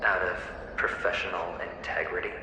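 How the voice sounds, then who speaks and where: An actor playing a mocking, theatrical inner tormentor in a video game, insinuating and sometimes dropping to a breathy tone. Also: male voice, low voice, flat delivery, brisk, noisy background, natural voice. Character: taunting, theatrical male inner tormentor needling a gambler. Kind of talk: dramatic video game voice acting. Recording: very echoey, loud music behind.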